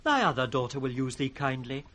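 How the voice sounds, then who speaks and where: An actor playing an impish, riddling British court jester in a 1960s radio Shakespeare drama. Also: male voice, medium pitch, sing-song, fairly quick, sharp tone, a little hiss or hum. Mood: teasing. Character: impish, riddling court jester, male, teasing his master.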